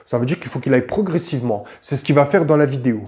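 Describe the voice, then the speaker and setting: A man's voice: medium pitch, racing, a little mellow. A man giving informal, argumentative commentary, confronting the listener directly and repeating himself for emphasis. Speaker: YouTube commentator.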